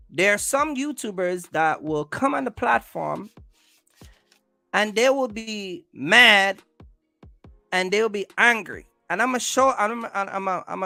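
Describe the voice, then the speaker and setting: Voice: mid-range voice, creaky, sharp tone, no echo. A livestream host talks casually in an emphatic, rambling way, often repeating phrases and restarting. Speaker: livestream host, content creator.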